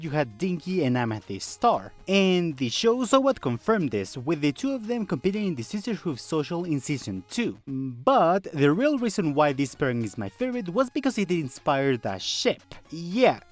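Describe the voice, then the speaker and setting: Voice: mid-range voice, big pitch swings, normal speed, hardly any pauses, faint music behind. A video essayist narrating a scripted fandom video in a conversational way, with rhetorical questions and wry asides.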